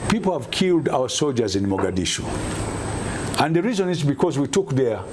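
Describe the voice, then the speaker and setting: A man's voice: medium pitch, very animated, brisk. Ugandan guy in a political interview, kinda lecturing, really emphatic, voice goes up and down a lot, noisy background. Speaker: interview guest.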